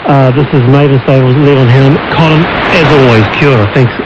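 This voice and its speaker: A man hosting a radio music chat in an effusive, halting way. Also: mid-range voice, steady tone. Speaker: radio host. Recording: muffled, very noisy shortwave radio broadcast. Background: loud shortwave static and noise.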